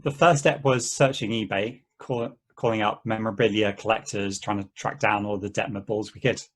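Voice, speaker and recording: A man playing an easygoing game player in an amateur table read, talking in a halting, conversational way. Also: on the low side, steady tone, lightly acted. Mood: storytelling. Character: easygoing, rambling male player of an endless treasure-hunt game.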